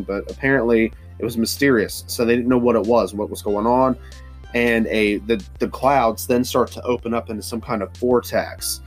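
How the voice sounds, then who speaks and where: A man hosting a casual solo podcast, stuffy-nosed and rambling with lots of fillers and restarts. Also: on the low side. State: stuffy nose. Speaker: podcast host. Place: hot humid home studio with fans running.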